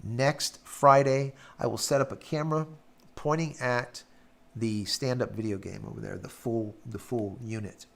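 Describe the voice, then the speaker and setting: Male live-stream host, raspy and gravelly, loose rambling delivery with fillers. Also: medium pitch, a little slow, a bit bright. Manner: rambling, filler-heavy, hums while scrolling. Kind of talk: casual live-stream chat reading viewer comments.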